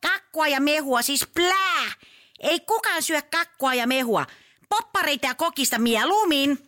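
A woman's voice: high-pitched, animated, sharp tone. A woman voicing a cheeky, bratty little kid who mocks and interrupts a fairy tale in slangy speech for children's comedy. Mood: mocking, argumentative.

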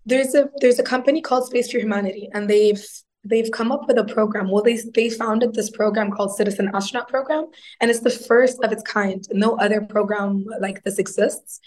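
A woman's voice: mid-range voice, fairly even intonation, brisk, darkish tone. A woman, a guest answering interview questions in an earnest, explanatory way. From Egypt. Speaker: guest astronaut.

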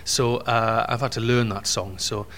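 A man's voice: mid-range voice, steady tone, moderate pace, fairly bright tone, slight background noise. A middle-aged Welsh man, a singer and presenter, joking about himself in a backstage chat. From Wales. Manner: jokey, self-deprecating. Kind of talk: casual interview chat. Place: backstage room.

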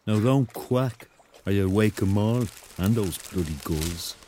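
An actor playing the laid-back ghost of a rock star, laconic and dryly teasing, in a radio drama. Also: male voice, fairly low pitch, a little slow, mellow, a little hiss or hum, close to an everyday voice.

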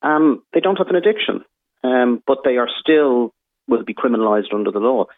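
A man, a doctor, explaining calmly on a radio phone-in. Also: mid-range voice, fairly quick, no echo. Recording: tinny phone line.

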